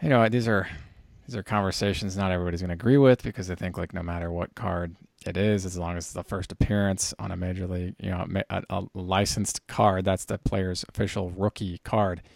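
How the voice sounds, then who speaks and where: A man with a lowish, creaky voice, recorded close and dry. He talks like a podcast host, casually explaining the details of a hobby in a conversational way. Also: steady tone, a little fast, hardly any pauses, no room sound.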